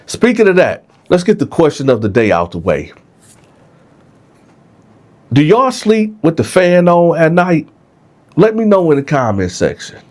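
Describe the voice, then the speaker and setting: A man's voice: fairly high pitch, huge pitch swings, a little slow, pauses often, a little mellow. A man with a congested, stuffed-up nose hosting his channel, telling a story casually to viewers and checking in with "right?". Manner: conversational, keeps asking "right?". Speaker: vlog host.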